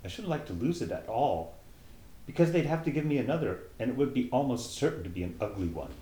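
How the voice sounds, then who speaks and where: A man's voice: mid-range voice, big pitch swings, fast, mellow, echoey room, voice pushed up, lightly acted, poor audio. A man reading aloud as a curious young girl who rambles and muses to herself, timid when asking questions. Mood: musing.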